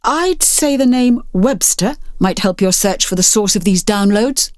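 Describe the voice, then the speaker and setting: A woman playing a brave, quick-witted investigator in an audiobook, firm and emphatic. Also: mid-range voice, lively intonation, no echo, clean studio sound, lightly acted. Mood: decisive.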